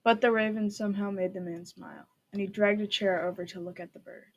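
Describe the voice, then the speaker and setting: A woman's voice: mid-range voice, steady tone, fast talker. A woman narrator reading a scripted story aloud.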